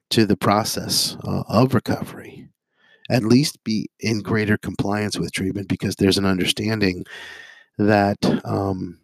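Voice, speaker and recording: A hoarse man, a psychotherapist and addiction interventionist, giving a halting talk to viewers full of ums and uhs and strings of listed phrases. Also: on the low side, no echo, poor audio. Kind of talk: informational monologue to viewers.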